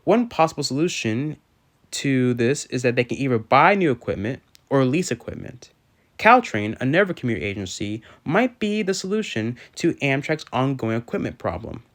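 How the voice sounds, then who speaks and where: A man's voice: medium pitch, very animated, normal speed. A man narrating an informational video from a script in a formal, careful way, occasionally stumbling over a word. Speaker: railfan video host.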